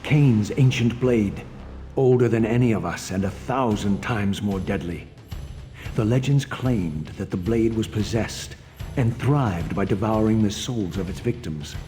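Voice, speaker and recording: An actor playing a proud, embittered undead vampire wraith in a video game, with solemn, eloquent, dramatic diction. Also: male voice, on the low side, unhurried, mellow, faint music behind, natural voice. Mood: grim, brooding.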